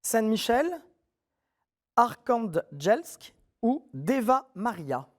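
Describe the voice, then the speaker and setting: A man hosting a televised quiz show, reading questions clearly and encouraging contestants in a friendly way. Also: very high-pitched, sing-song, extremely slow.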